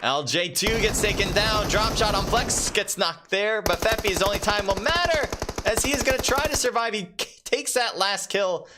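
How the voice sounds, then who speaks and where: Excitable male esports caster over gunfire. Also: moderate pace, very noisy. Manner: excitable, pitch leaps during fights, casual fillers. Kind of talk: live play-by-play commentary. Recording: game gunfire clearly underneath. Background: game gunfire and clicks.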